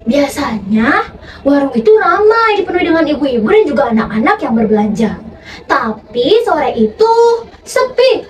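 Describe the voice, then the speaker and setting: Very high-voiced young woman telling a story. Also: sing-song, distant mic, slight background noise. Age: young adult. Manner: theatrical, deliberate phrasing. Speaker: storyteller in a youth contest. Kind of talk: dramatic scripted storytelling. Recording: echoey room from afar, background music.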